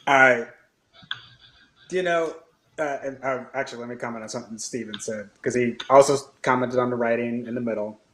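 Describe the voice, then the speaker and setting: Male podcast co-host, mid-pitched, hesitant and filler-heavy. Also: a little fast, a bit bright, low-quality recording. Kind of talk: casual discussion over a call.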